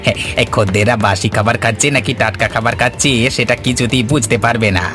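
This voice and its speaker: A man's voice: mid-range voice, fairly even intonation, fast talker, close to an everyday voice. A man acts a crafty eatery helper from a cartoon tale. He sounds servile and sly, eager to agree with his boss. Music is prominent behind the voice. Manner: obsequious, sly. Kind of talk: Bengali cartoon story voice acting.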